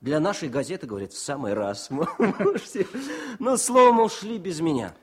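An actor playing a young, modest, cheerful wartime poet and officer in a Soviet radio drama, speaking with expressive eagerness. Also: male voice, sits high, moderate pace, breathy edge, heavily acted. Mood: animated storytelling. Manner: expressive, eager, declaims verse.

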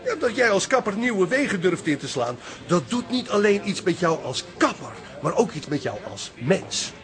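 An actor playing a pompous, self-assured know-it-all in a radio comedy-drama, lecturing pedantically. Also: male voice, high-pitched, sing-song, moderate pace. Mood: patronising.

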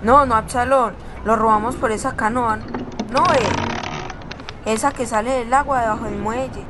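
A woman acts out a daring child stowaway in an audio drama. The voice is high, bossy and urgent, nearly buried in loud ambient noise. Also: fairly even intonation, measured pace, natural voice. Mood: insistent. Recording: loud background ambience and rumble.

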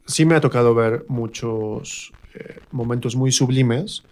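Man, Mexican accent, medium pitch, conversational storytelling, hesitant, reflective, searching for words. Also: slow, darkish tone. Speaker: interview guest, performer and drama teacher. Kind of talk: conversational anecdote in a radio interview.

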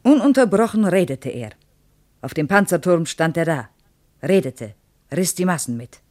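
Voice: mid-range voice, animated, moderate pace, a little mellow, close to an everyday voice. A performer playing an earnest, reverent female Soviet tour guide in a radio play, reciting history. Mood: earnest, proud.

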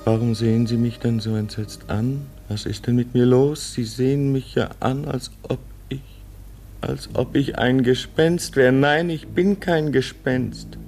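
A man's voice: mid-range voice, fairly even intonation, a little slow, darkish tone, natural voice. An actor in his thirties playing a frightened man who pleads urgently to be heard, with music behind. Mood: desperate. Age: 30s. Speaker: radio play lead.

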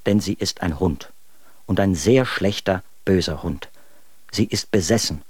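Embittered husband for literary radio drama: low register, scornful, very dry recording. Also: male voice, unhurried, darkish tone, breathy edge, no echo. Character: bitter, contemptuous husband writing letters about his wife. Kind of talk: dramatic reading of old letters in a radio play.

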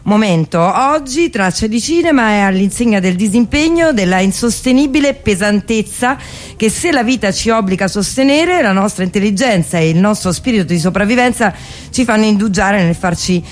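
A woman's voice: mid-range voice, lively intonation, normal speed. A woman with a Roman accent introducing a radio show in a wry, playfully literary way with colloquial asides. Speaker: radio host. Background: music.

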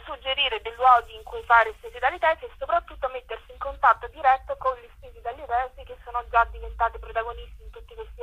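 A woman speaks as an interview guest. Her voice sits fairly high and is strikingly bright, with a raspy grain. She explains things in a conversational way, as an organiser presenting a project. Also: poor audio.

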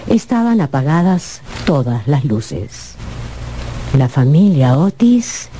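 A woman narrating a scripted radio story in a measured, dramatic storytelling manner. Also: low-pitched, sing-song, mellow, a little hiss or hum.

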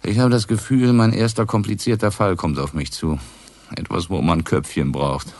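An actor playing a hesitant, self-doubting police inspector asking a favour in a radio crime drama. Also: male voice, low voice, steady tone, normal speed, a little mellow, dry recording. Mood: hesitant, earnest.

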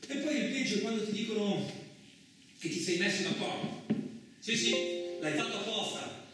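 An actor playing a rough, talkative veteran truck driver in a colloquial radio theatre monologue, with a rambling, animated way of telling his story. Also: high-pitched, moderate pace, over music. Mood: grumbling, incredulous. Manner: animated, rambling, with rhetorical tag questions. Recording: very echoey, distant stage recording, noisy.

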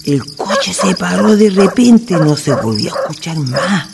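A man's voice: high voice, sing-song, a little slow, gravelly, background noise, full character voice. An actor playing a weary, cautious village man in a radio drama, plaintive and colloquial, with a Chilean accent. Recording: music behind the voice.

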